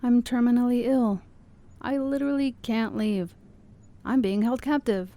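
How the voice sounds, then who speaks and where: A woman acting in an audio drama, playing a calm, soothing young woman with a wry streak. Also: on the high side, measured pace. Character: calm, teasing young woman, terminally ill.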